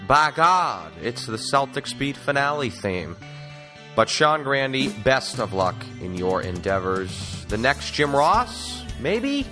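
A man's voice: medium pitch, big pitch swings, slow pace. A man hosting a sports talk podcast in an emphatic, wordy announcer style. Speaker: podcast host. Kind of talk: sports talk interviewing and show sign-off. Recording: music bed under the voice. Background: music.

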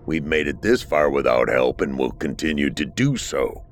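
A guttural, hostile creature of a lighthouse keeper, as a male narrator puts it on, deep and slow. Its low voice rolls up and down, and it sounds a little removed from the mic, with a faint hiss behind it. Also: animated. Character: slimy, sagging keeper of the lighthouse, gruff and hostile.

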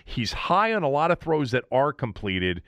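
A man's voice: mid-range voice, big pitch swings, normal speed, fairly bright tone, no room sound. An American man hosting a sports talk show, giving an opinionated football recap in a blunt, emphatic manner.

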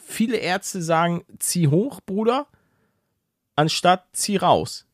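A man's voice: high-pitched, animated, takes it slowly, dry recording, studio quality. A man co-hosting a podcast in casual, slangy chat with joking banter. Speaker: podcast co-host.

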